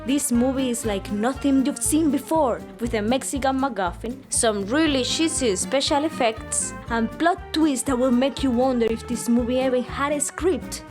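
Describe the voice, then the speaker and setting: A woman's voice: high voice, moderate pace, hardly any pauses. A woman with a Cuban accent narrating a comic movie trailer in a mock-grand announcer style. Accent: Cuban Spanish. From Cuba. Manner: mock-grand, over-the-top announcer delivery. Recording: music bed under the voice.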